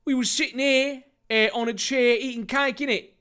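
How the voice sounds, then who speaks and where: Man putting on a high, insolent thug. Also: steady tone, moderate pace, pushed and bright, clean tone. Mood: defiant. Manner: tag questions, sneering insults. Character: insolent, taunting male thug with a verbal tic.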